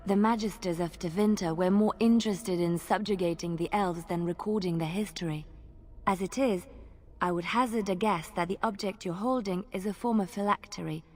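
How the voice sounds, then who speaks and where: An actress playing a composed, scholarly female mage in a video game, speaking in a measured, formal way. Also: mid-range voice, darkish tone, close to an everyday voice. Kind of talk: video game dialogue acting. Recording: game audio with faint ambient noise.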